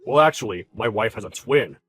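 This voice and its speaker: Man acting a polite, restrained employee, bright voice. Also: lowish voice, expressive, faint music behind, pitched below the performer's own voice. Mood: calmly firm. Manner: measured, formal, restrained. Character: calm, polite, deferential male employee, quietly firm. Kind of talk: dramatized story narration with character voices.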